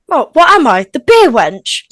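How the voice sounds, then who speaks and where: Sharp-tongued high school girl, female actor, high and animated, dry sound, table read. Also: a little slow, dry recording, exaggerated voice. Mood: sarcastic. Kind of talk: informal script table read.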